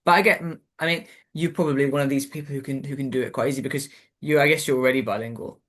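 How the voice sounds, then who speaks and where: A man who hosts a relaxed chat, his voice at a middling pitch. He rambles along easily and keeps dropping "like" and "um" as he talks. The interview stays informal and friendly in tone. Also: rapid-fire. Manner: rambling and chatty, full of "like" and "um". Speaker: interview host. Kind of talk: casual conversational interview.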